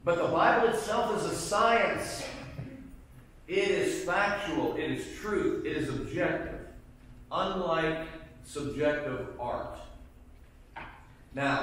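Middle-aged guy teaching bible class, slow and deliberate, very echoey church room, far from the mic. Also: a little high, fairly bright tone. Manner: emphatic, asks the class questions. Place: church sanctuary with pews. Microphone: far camera at the back of the room.

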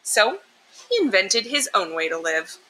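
A woman's voice: mid-range voice, measured pace. A woman reading a children's picture book aloud expressively, explaining and emphasizing things for young listeners. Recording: clear steady background noise.

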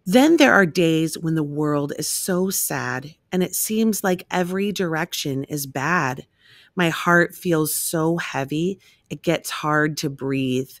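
A woman reading a rhyming children's picture book aloud in an expressive, measured storytelling manner. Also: low-pitched, dry recording, studio quality.

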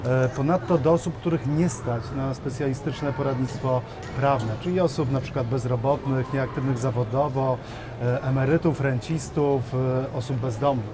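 A man in his thirties with a mid-range voice, explaining things in a measured way with hesitant fillers. Clear shopping-mall noise sits behind him. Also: medium pitch, fairly even intonation. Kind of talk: interview answers. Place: shopping mall seating area, indoors.

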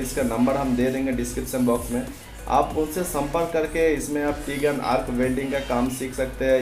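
A man's voice: medium pitch, darkish tone. A man gives an informal, repetitive voice-over explanation, addressing viewers as friends. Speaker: host of a welding advice video. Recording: roomy echoing voice-over with music behind. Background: background music.

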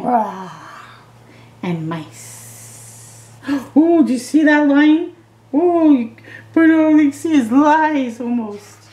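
Middle-aged woman storytime reader, slow and mellow, reading a picture book at home, roomy echo. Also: a little high. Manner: slow, gentle reading with excited asides to the viewer. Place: living room at home.

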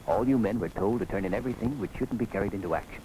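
An American actor playing a stern, principled soldier who lectures firmly in a 1940s film. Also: male voice, on the low side, very fast, mellow, natural voice. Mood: reproving. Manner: lecturing, firm. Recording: noisy 1940s film soundtrack.

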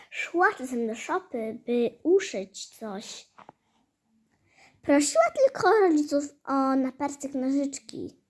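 A woman storyteller narrating a fairy tale in a halting, hesitant way, with stumbles and repeated phrases. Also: high-pitched, darkish tone. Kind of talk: fairy-tale storytelling for a hobby audio play.

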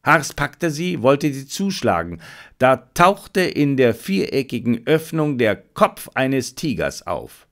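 Slow, dramatic male storyteller, lively pitch. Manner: dramatic, suspenseful delivery. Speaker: audiobook narrator. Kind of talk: scripted narration of a detective adventure.

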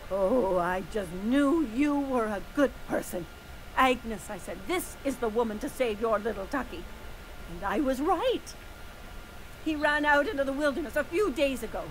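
High-voiced fretful frontier mother, acted by a woman. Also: animated, moderate pace, a little hiss or hum, natural voice, low-quality recording. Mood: anxious. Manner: folksy, fretful, melodramatic. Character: frantic, overprotective, melodramatic frontier mother. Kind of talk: video game character dialogue.

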